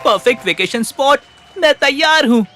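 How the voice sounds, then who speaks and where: A man voicing a cheerful, naive, playful cat owner in an animated cartoon. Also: extremely high pitch, normal speed, soft background music, exaggerated voice. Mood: cheerful eagerness. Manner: eager, playful.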